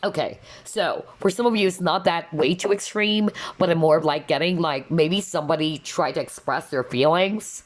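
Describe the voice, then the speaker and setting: Low-voiced tarot reader, a bit nasal. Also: female voice, moderate pace, hardly any pauses. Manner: chatty, with many fillers and asides to viewers. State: slightly nasal, losing her voice. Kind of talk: casual pick-a-card tarot reading.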